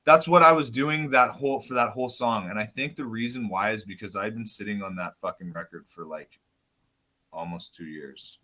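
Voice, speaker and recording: Man, podcast guest, loud and rambling, swears a lot, lowish bright voice. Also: normal speed. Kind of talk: casual chat.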